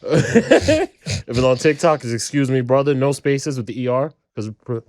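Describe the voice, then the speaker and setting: A man's voice: medium pitch, normal speed, darkish tone, no echo. A man co-hosting a podcast in a studio, wrapping up in a jokey, giggly way. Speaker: podcast co-host. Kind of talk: casual sign-off.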